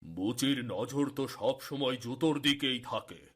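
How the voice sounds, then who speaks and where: Male actor as harsh middle-aged landlord, cartoon moral tale, proud, commanding, gravel-free but husky, unhurried. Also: medium pitch. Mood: angry, threatening. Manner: slow, commanding delivery. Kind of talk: Bengali cartoon story acting.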